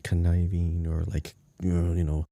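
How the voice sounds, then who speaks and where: A man's voice: low-pitched, flat delivery, normal speed, gravelly, dark tone, no echo, studio quality. A man co-hosting a podcast, chatting casually as he thinks through a guessing game. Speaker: podcast co-host.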